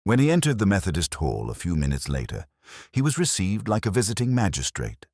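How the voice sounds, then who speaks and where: A man narrating a literary mystery story in a measured, formal way. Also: sits low, wildly swooping pitch, darkish tone, no echo, clean studio sound.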